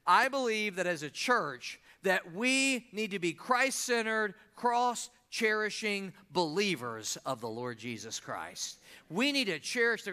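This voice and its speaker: A pastor, preaching a sermon and drawing answers from the congregation. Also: male voice, sits high, pushed and bright, clean tone. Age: 50s. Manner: call-and-response preaching, asks the congregation to answer.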